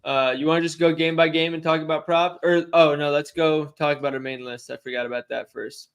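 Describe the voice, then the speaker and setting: A young man co-hosting a casual sports betting livestream, chatty and quick to laugh while he answers questions from the chat. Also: on the high side, fairly even intonation, clear, resonant tone. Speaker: sports betting stream co-host.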